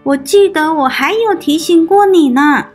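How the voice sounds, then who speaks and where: A woman's voice: extremely high pitch, unhurried, soft background music, pitched above the performer's own voice. A woman voicing a sensible, hardworking ant in a children's storybook, earnest and admonishing. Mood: scolding. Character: hardworking, sensible ants, scolding the idle.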